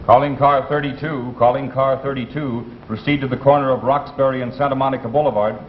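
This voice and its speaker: Actor as a formal police dispatcher, medium pitch. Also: male voice, steady tone, brisk, close to an everyday voice. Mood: businesslike. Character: formal male police dispatcher with disc-jockey flair. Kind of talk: 1950s radio comedy acting. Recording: muffled old radio recording, faint rumble.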